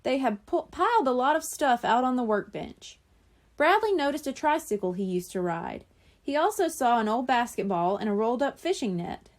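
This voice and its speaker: A woman reads a children's story as an audiobook narrator. Her voice is high-pitched, and her expressive delivery rises and falls a lot. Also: moderate pace. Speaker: audiobook reader.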